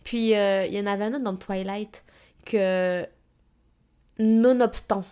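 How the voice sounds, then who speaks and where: Woman podcast co-host, mid-pitched voice, thoughtful and halting, lots of little hesitations. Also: fairly even intonation, warm tone, clean tone. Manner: thoughtful, hesitant with many fillers. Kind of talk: casual chat about books.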